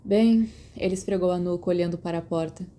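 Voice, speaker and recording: A woman's voice: on the low side, fairly even intonation, fairly quick, warm tone. A woman with a Brazilian accent reading scripted fiction as a podfic narrator.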